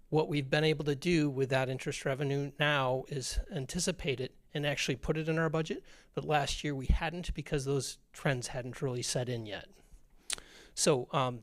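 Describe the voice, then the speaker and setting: A hoarse man, a school district business director giving an informal budget presentation at a public meeting, with many fillers and restarts. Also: mid-range voice, no room sound.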